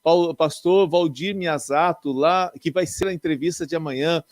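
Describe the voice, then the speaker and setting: A man's voice: a little high, moderate pace, no echo, studio quality. A man, a pastor, hosting an interview in a chatty style with frequent exclamations of praise. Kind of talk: casual interview.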